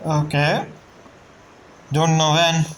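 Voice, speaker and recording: A woman's voice: low-pitched, little pitch movement, extremely slow, very noisy. A woman streamer reacting casually to a video game with brief, wry asides. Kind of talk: casual gameplay reaction commentary. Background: game music.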